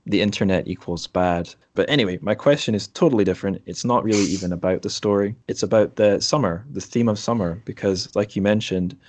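A low-pitched man with a Scottish accent hosts a podcast interview. He talks casually and ramblingly, and the sound is close and dry, with little room around it. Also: brisk, hardly any pauses, darkish tone, no room sound. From Dundee, Scotland.

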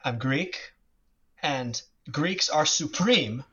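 A man's voice: on the high side, animated, very slow, bright, forceful tone, breathy edge. A man from the United States talking casually as a guest about politics, rambling with many restarts and fillers. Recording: slightly dull lo-fi audio.

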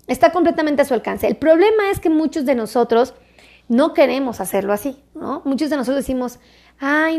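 A woman's voice: high-pitched, darkish tone. A woman doctor talking casually to her viewers, chatty and repetitive, coaxing them along. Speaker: doctor and health video creator. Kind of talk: casual direct-to-camera health talk.

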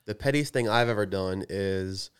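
A man's voice: fairly low pitch, normal speed, clean tone. A young man co-hosting a podcast, rambling and teasing in casual banter and laughing often. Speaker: podcast co-host.

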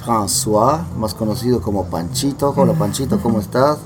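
A man hosting a casual podcast interview among friends over beer, joking and asking quick questions. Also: on the low side. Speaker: podcast host. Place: gathering with friends drinking beer. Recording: music playing in the background.

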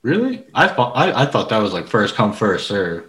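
Low, bright-toned co-host from Orlando. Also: male voice, big pitch swings, moderate pace. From Orlando, Florida. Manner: laughing, exaggerating complaints. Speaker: podcast co-host. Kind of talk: casual interview chat.

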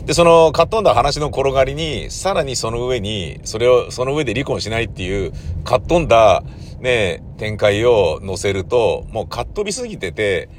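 A man's voice: mid-range voice, sing-song, moderate pace, slight background noise. A man talking casually and at length as a playwright recounting his work, in a discursive, emphatic, colloquial way. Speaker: playwright and troupe leader.

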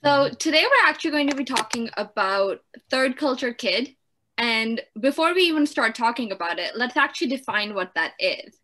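A woman's voice: a little high, animated, normal speed, pushed and bright. A woman co-hosting a casual podcast, enthusiastic as she introduces and praises her guest. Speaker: podcast co-host.

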